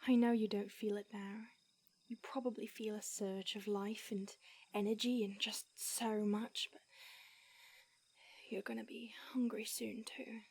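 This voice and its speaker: A woman voicing an ancient female vampire who mentors her fledgling, speaking in a hushed, breathy whisper with hesitant restarts. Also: mid-range voice, flat delivery, measured pace, dry recording. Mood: remorseful, tender. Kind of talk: audio roleplay.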